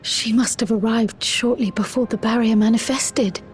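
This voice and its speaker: An actress playing a calm, observant, thoughtful woman in a game cutscene, composed and reflective. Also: fairly high pitch, moderate pace, soft background music, lightly acted. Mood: subdued. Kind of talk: dramatic video game cutscene acting.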